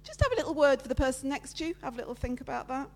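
A woman preaches with a loud, lively voice that sits a little high. She chats freely with the people in front of her and works through slides in an informal sermon. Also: rapid-fire, a little hiss or hum. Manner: loud, lively, chatty with the congregation. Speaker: preacher giving a talk at church. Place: church meeting room with listeners present.

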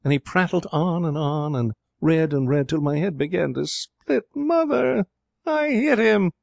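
A man's voice: mid-range voice, big pitch swings, moderate pace, a little mellow, no room sound. Man putting on a spirited, gushing young woman. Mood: exasperated, dramatic. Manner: gushing, emphatic. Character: spirited young woman, petulant, dreamy, dramatic.

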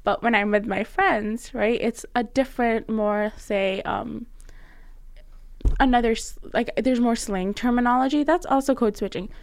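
A young woman co-hosting a casual podcast, animated and quick to laugh, with lots of "like" fillers. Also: fairly high pitch, gravelly, dry recording, studio quality. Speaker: podcast co-host. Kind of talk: casual chat.

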